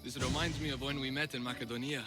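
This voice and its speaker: A man's voice: medium pitch, steady tone, quick pace, music in the background, close to an everyday voice. An actor playing a reflective, tender young male wanderer in a video game cutscene.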